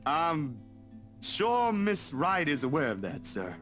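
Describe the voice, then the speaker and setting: An actor playing a polite, nervous young photographer in a visual novel game, hesitant and deferential, stammering a little. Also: male voice, a little high, wildly swooping pitch, takes it slowly, heavily acted. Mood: polite, careful. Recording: guitar music behind the voice.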